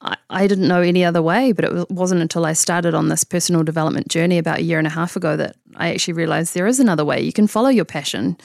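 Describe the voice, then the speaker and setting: A woman's voice: lowish voice, very fast, gravelly, few pauses, a little mellow, dry recording, studio quality. A woman in her thirties from New Zealand with a New Zealand accent, a coach talking casually and reflectively in an interview, with many fillers. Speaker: guest coach.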